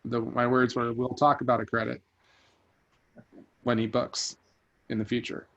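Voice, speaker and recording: Male rental host, even and monotone, measured delivery, casual call chat. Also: medium pitch, lo-fi sound. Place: online group video call.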